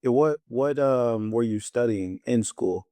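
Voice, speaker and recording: A man hosting a casual online interview, asking eager, quick follow-up questions. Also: mid-range voice, dark tone, no room sound. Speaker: interview host. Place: in Hungary.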